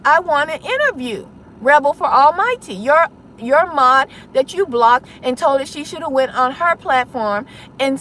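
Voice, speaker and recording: A woman's voice: high-pitched, fairly bright tone, slight background noise. A woman delivering an impassioned public address online, insistent and confrontational, pressing her points with rhetorical questions. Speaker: online content creator.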